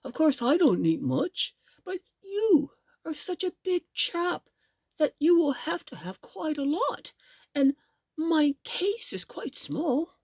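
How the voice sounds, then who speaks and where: A kindly old gentleman rabbit talks gently and politely, taking his time, voiced by a woman reading a storybook. The voice sits fairly high and moves a lot. It has a gravelly edge and sounds close and dry. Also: very animated, slow pace, no room sound, heavily acted.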